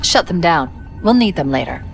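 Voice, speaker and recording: A woman playing a sharp-witted, loyal military AI in a video game, brisk and wry. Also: low voice, sing-song, vocal fry, faint music behind, exaggerated voice. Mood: somber. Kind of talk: video game cutscene acting.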